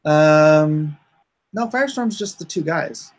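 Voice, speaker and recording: A man in a casual unscripted chat, explaining his creative project in a halting way as he searches for words. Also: fairly high pitch, animated.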